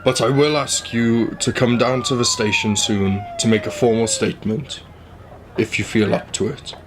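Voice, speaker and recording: A man's voice: mid-range voice, huge pitch swings, moderate pace, noisy background. A man playing a curt, irritable detective inspector in a radio play, dismissive and used to being in charge. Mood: businesslike. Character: brusque, irritable male police detective inspector.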